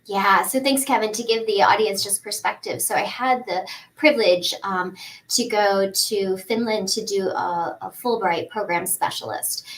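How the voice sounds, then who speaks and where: Woman in her forties, podcast guest, earnest, fluent, chatty, mid-pitched, plenty of ums. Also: poor audio. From United States. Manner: earnest, fluent, with frequent fillers and restarts. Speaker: pharmacist and guest expert. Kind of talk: conversational podcast interview.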